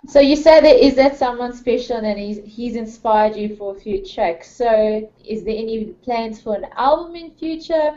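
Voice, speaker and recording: Mellow-voiced female co-host. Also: fairly high pitch, moderate pace, hardly any pauses. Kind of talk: interview questions. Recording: dull lo-fi sound.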